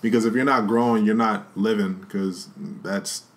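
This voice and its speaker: A man co-hosting a casual podcast with his wife, talking in a relaxed, slangy, earnest way about becoming a father. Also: on the low side, normal speed. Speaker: podcast co-host, expecting father. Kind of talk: casual chat.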